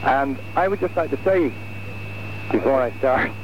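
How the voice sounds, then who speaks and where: A man's voice: mid-range voice, expressive, moderate pace. A man on radio giving a playful, teasing farewell to a colleague. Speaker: radio presenter. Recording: dull noisy radio recording.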